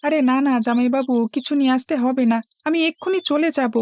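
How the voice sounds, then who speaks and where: A woman's voice: sits high, quick pace, darkish tone, no room sound, natural voice. A woman voicing an affectionate, anxious young boy, a little brother in a fairy-tale cartoon. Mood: earnest.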